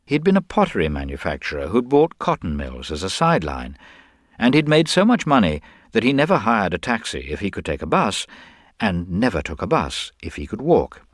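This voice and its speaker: Male narrator, low voice, wry scripted storytelling, expressive intonation, dry studio sound. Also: normal speed, dry recording.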